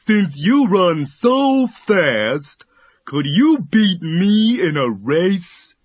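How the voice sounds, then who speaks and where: Calm storybook tortoise, drawn-out and slow, husky, determined, slightly muffled recording. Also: male voice, sits high, no room sound, exaggerated voice. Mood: calm, deliberate. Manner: deliberate, drawn-out, unhurried. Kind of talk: children's storybook character acting.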